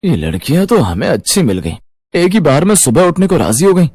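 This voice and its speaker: A man's voice: on the high side, sing-song, fairly quick, a little mellow, husky, dry recording, studio quality, pitched above the performer's own voice. A man voicing a dutiful young married son with an earnest, explanatory manner in a cartoon moral story. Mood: pleased.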